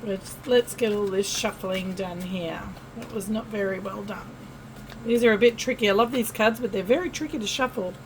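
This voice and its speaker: An Australian woman giving a casual tarot reading to camera, chatty and friendly, often pausing with fillers and restarting. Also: on the high side, a little hiss or hum, low-quality recording. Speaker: tarot reader.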